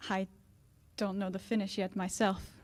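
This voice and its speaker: An actress playing an earnest, pained young former lover, hesitant and pleading, in 1940s radio drama style. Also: female voice, medium pitch, little pitch movement, normal speed, close to an everyday voice. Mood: earnest, wistful.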